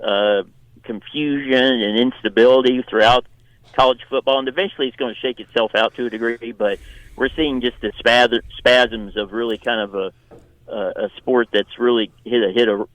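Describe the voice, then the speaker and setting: Halting man giving sports commentary. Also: medium pitch, fairly even intonation, no room sound, poor audio. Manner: halting, searching for words, repeating. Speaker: sports editor, radio guest. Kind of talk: casual interview commentary.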